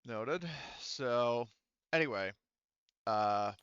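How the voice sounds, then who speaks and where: A man speaking casually as game master of a tabletop roleplaying game, explaining things in a halting, conversational way. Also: mid-range voice, fairly bright tone, clean tone, no room sound, lo-fi sound.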